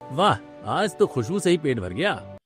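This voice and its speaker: An easily pleased young husband in a family cartoon, played by a man, who loves his food. He talks in a lively, swinging voice with faint music underneath. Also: on the high side, unhurried. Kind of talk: cartoon dialogue.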